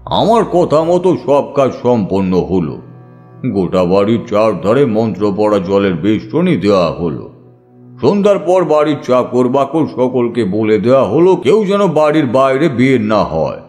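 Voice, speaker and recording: A man's voice: mid-range voice, very animated, measured pace, darkish tone, soft background music. A man narrating a horror audiobook in a suspenseful, theatrical style. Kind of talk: dramatic scripted narration of horror fiction.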